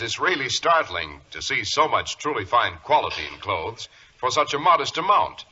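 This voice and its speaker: An American man delivers polished 1940s radio announcer copy. His voice is lively and slightly rough, with emphatic salesmanship, on a dull old broadcast recording. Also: mid-range voice. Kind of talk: 1940s scripted radio commercial reading.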